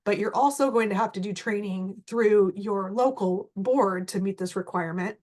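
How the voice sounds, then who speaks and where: A woman's voice: mid-range voice, normal speed, a little mellow. A woman, getting over a cold and losing her voice, giving an informal training presentation to a board with frequent fillers. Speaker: staff presenter at a board meeting.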